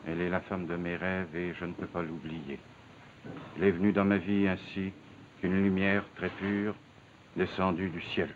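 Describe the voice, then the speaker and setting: Man playing deep-voiced solemn bourgeois father. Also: flat delivery, moderate pace, close to an everyday voice. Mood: mournful reading. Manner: grave, formal, theatrical diction. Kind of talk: 1950s television period drama acting. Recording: muffled, hissy old 1950s television soundtrack.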